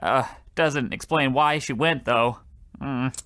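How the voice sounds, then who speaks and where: A man's voice: mid-range voice, takes it slowly, a bit bright, slight background noise. A man playing a meek, put-upon, poor postal worker who mutters fretfully in old-fashioned wording, in the style of old radio comedy. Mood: glum, resigned.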